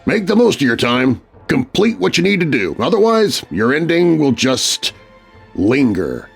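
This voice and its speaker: A man voicing a gruff, cryptic older bearded man who speaks slow, ominous warnings. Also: a little high, big pitch swings, soft background music, exaggerated voice.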